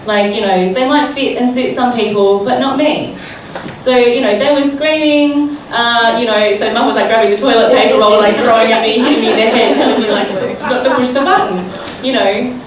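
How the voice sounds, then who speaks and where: A woman's voice: a little high, brisk, a crowd in the background. A woman interpreting for a deaf speaker in the first person, chatty and full of fillers. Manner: chatty, filler-heavy, amused. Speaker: sign language interpreter voicing a deaf speaker. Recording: echoey hall, far from mic, clear noise.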